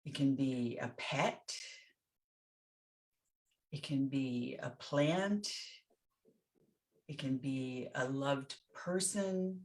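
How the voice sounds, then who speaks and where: A woman leading a guided meditation as a teacher, speaking gently and soothingly in short phrases with pauses between them. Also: rumbling low.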